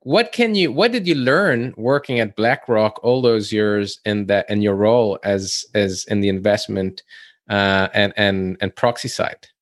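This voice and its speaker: A man hosting an interview podcast, often stopping and restarting his sentences. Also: lowish voice. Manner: halting, with many restarts. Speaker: podcast host. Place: home studio in San Francisco.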